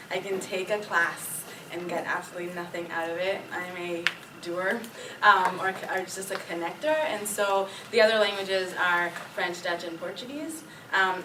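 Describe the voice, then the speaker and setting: Woman from Pakistan, panelist, chatty, distant mic, background noise. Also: fairly low pitch. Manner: chatty, laughs often. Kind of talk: casual panel talk. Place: conference hall. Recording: echoey hall from far off, noisy.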